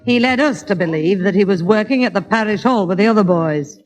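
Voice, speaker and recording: A woman's voice: mid-range voice, animated, brisk, soft background music, lightly acted. A woman playing a shrewd, teasing mother with a Northern English accent in a 1960s British radio comedy. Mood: shrewd, scheming.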